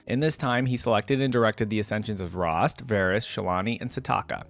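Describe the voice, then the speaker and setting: A man with a medium-pitched voice works as an online creator doing reaction commentary. He reads written material aloud, then drops into casual, conversational asides as he thinks out loud. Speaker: video creator reacting to game lore.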